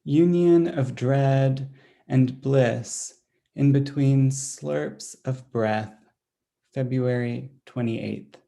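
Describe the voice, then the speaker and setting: A man reading another poet's diary-like poem aloud, slow and deliberate, closing each entry with a date. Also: mid-range voice, vocal fry. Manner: deliberate, dated entries read flatly.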